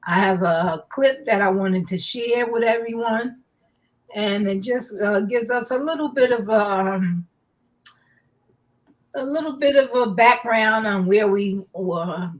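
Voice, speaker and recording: A woman hosting a live online talk show, slow and halting with many fillers, her nose stuffy. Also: mid-range voice. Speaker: host.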